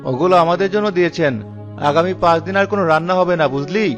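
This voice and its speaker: A man playing a stingy, grumbling newlywed husband in a cartoon, blunt and quick to scold. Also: high-pitched, a bit bright. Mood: angry scolding. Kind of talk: cartoon dialogue acting. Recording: dull lo-fi sound with music behind.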